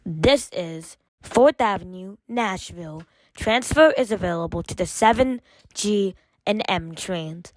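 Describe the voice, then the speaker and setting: Measured woman making dry, scripted transit announcements. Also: medium pitch, animated, no room sound, low-quality recording. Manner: measured, formulaic, segmented phrasing. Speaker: automated train announcer.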